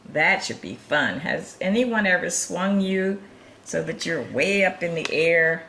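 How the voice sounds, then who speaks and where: A woman's voice: fairly low pitch, animated, a little slow, creaky, sharp tone, a little hiss or hum. A woman reading a picture book aloud to young children, with chatty, encouraging asides and chuckles over the pictures. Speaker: children's storytime reader.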